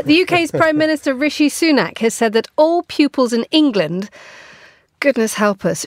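A woman's voice: on the high side, dry recording, studio quality. A woman presenting a radio discussion, with wry, playful asides.

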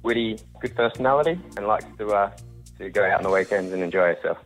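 A young Australian man in his late twenties with a lowish voice. He speaks casually and plainly, with faint music behind him. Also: fast, rough recording. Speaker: electrician, dating segment contestant. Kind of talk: casual, plain-spoken chat.

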